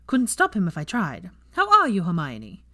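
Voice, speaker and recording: A woman's voice: fairly low pitch, huge pitch swings, normal speed, sharp tone, full character voice. A woman voicing a stern, bossy but doting elderly woman in a story reading. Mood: fussing, welcoming. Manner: stern, snappy.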